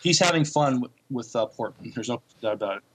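Halting mid-pitched man in casual podcast chat. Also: animated, slightly rough, poor audio. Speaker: podcast co-host. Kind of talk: casual chat reviewing TV shows.

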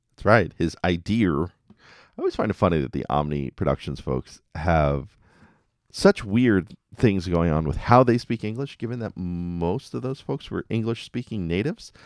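A man's voice: low voice, no room sound, studio quality. A man hosting a podcast, casually recapping episodes with wry, jokey asides. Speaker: podcast host.

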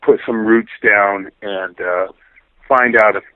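A middle-aged man speaking over a phone line as an interview guest, reflective and halting, pausing often to find his words. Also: on the low side, fairly even intonation, no echo. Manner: halting, thoughtful, frequent pauses. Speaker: interview guest, musician and teacher. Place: remote phone call. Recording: tinny, band-limited phone line.

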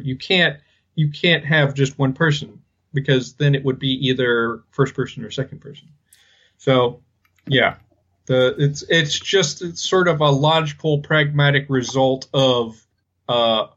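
A man hosting a casual podcast, speaking hesitantly and haltingly as he thinks aloud. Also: medium pitch, a bit bright, highs cut off. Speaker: podcast host.

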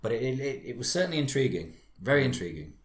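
A man's voice: medium pitch, very animated, quick pace. A man chatting casually as a podcast panelist, reviewing songs with emphatic, effusive repetition.

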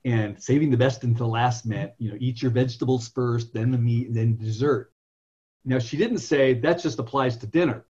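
A man in his fifties from California, a software entrepreneur telling long, self-correcting stories as a guest in an interview. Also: mid-range voice, moderate pace, slightly rough, highs cut off. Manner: rambling, self-correcting, anecdotal. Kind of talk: conversational interview storytelling.

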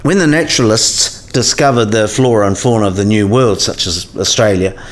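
A man's voice: lowish voice, normal speed, close to an everyday voice. A man playing a learned professor in a radio play, explaining science history in a precise, didactic way. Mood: measured, instructive.

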